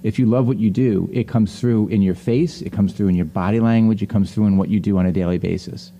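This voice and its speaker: A man's voice: lowish voice, steady tone, fairly quick, warm tone, a little hiss or hum. A middle-aged man hosting a casual panel conversation, speaking in a chatty, rambling, anecdotal way. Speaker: podcast host.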